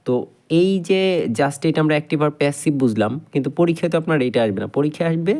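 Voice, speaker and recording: A man's voice: a little high, lively intonation, racing, dark tone. A man with a Bangladeshi accent giving an informal grammar lesson, explaining step by step and often repeating and rephrasing his points. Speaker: English grammar teacher.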